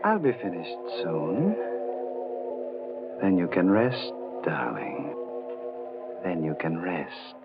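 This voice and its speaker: A man playing a brooding, jealous, quietly mad sculptor in a 1940s radio horror drama, halting and intense. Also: sits low, huge pitch swings, gravelly, full character voice. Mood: quietly menacing. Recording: muffled 1940s radio recording, music behind.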